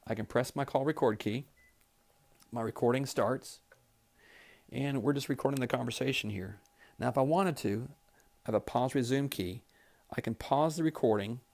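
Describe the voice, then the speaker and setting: A man's voice: medium pitch, a little mellow. Man walking through a training tutorial. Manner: plain-spoken, step-by-step explaining. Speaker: trainer demonstrating office phone features. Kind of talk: instructional product tutorial, demonstrated live.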